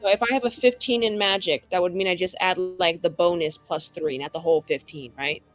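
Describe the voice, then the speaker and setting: A woman's voice: on the low side, fairly quick, no echo, soft background music. A woman playing a mage in a tabletop game, chatting casually and swearing freely. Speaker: player.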